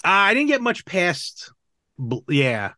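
A man's voice: sits high, wildly swooping pitch, slow pace, bright, forceful tone, no room sound, studio quality. A man hosting a podcast, chatting casually about movies and games. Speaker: podcast host.

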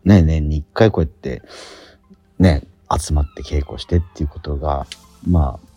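A man's voice: a bass voice, normal speed, dark tone, husky, no echo, lo-fi sound. A middle-aged man chatting casually as a radio host, telling stories with acted-out asides. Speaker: radio show host and stage actor. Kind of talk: casual radio chat about a theatre production. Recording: background music under voice. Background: music.

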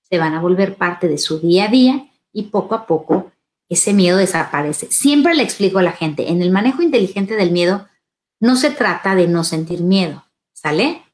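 A woman's voice: on the low side, expressive, normal speed. A woman hosting a live parenting show, answering viewers' questions and speaking straight to the parents. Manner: warm advisory tone, addresses parents directly, asks "¿sale?". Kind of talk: live Q&A talk.

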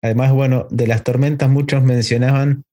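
A man in his thirties hosts a casual podcast conversation in Rioplatense Spanish. His voice sits at a medium pitch. He talks informally, with plenty of everyday slang. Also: flat delivery, brisk, mellow. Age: 30s. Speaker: podcast host.

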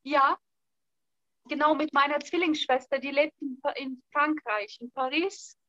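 Young woman, bright and tense tone, hesitant casual talk. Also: sits high, unhurried, no echo, muffled recording. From Bulgaria. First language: Bulgarian. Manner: hesitant, thinking aloud with fillers. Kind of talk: casual conversation.

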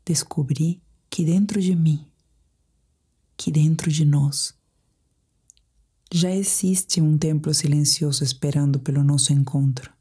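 Brazilian lady doing sleep meditation, low soft voice, super breathy and whispery, calm n measured. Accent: Brazilian Portuguese. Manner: slow, calm, soothing, measured. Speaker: meditation guide.

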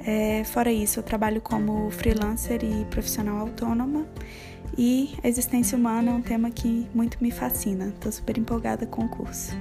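A Brazilian woman of twenty-four, a psychology student and freelancer, introducing herself in an even, measured way. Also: on the high side. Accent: Brazilian Portuguese. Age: 20s. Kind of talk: self-introduction. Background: guitar music.

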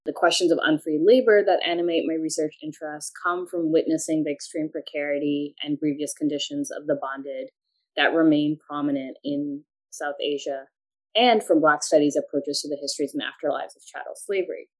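A woman with a notably low voice, born in Pakistan, speaking as a guest professor. She answers in a measured, thoughtful academic manner. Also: steady tone, low-quality recording. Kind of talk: academic interview.

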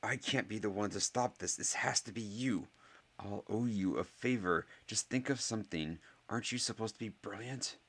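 A man's voice: low-pitched, normal speed. A man voicing a haughty, scornful rich schoolboy with a drawl in a storybook reading. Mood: urgent whisper.

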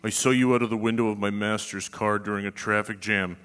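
A man performing a comic staged reading as an easygoing pet dog looking for casual romance, deadpan and casual. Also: on the low side, a little fast, clean tone, close to an everyday voice. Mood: casual, hopeful. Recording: live theatre hall with audience.

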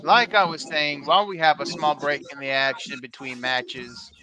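A man's voice: fairly high pitch, fairly even intonation, normal speed, bright, forceful tone, soft background music. A man co-hosting a live wrestling watch-along, teasing his friends and playing emcee. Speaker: podcast co-host. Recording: bar crowd chatter behind.

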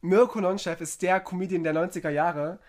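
Chatty fairly high-voiced young man. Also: steady tone, fairly quick, darkish tone. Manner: chatty storyteller, brings the topics and news. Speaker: podcast co-host. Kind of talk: casual live podcast banter.

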